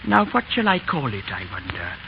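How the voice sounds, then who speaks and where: An actor playing an elderly English schoolmaster, fond, donnish and gently humorous, speaking haltingly in a 1930s radio drama. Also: male voice, medium pitch, huge pitch swings, creaky, muffled recording. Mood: chuckling reminiscence. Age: old man. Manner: halting, chuckling, sentimental old-man delivery. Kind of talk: 1930s radio drama acting, farewell speech. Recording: noisy vintage 1930s radio broadcast.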